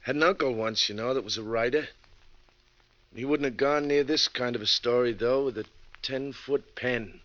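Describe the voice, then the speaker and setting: An actor playing a wounded, sardonic American bus driver recounting a grim story in slangy 1940s radio drama style. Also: male voice, mid-range voice, moderate pace, a bit bright, natural voice. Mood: weary, sardonic. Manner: folksy, hard-boiled, slangy. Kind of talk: 1940s radio drama acting, slangy first-person narration. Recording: dull vintage radio recording, music behind.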